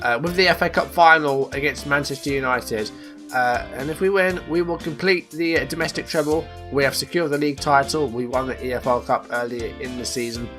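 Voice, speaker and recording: A man presents a gaming video in a casual, conversational commentary style. His voice sits at an average pitch and he is coming down with a cold. He recaps things matter-of-factly while music plays behind him. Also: a little fast, fairly bright tone. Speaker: YouTube gaming channel host. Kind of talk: casual let's play commentary.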